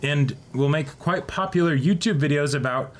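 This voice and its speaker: A man co-hosting a casual unboxing video, chatting and reading aloud in a halting, conversational way. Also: fairly high pitch. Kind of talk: casual banter, some reading aloud from a letter. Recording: noisy room, background ambience.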